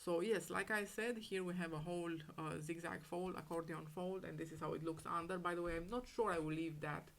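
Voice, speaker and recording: A woman with a cold and a raspy, hoarse voice, showing off a handmade photo album in casual, halting talk full of ums. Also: fairly low pitch, steady tone. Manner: hesitant, many ums, fond and gushing. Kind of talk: casual craft show-and-tell.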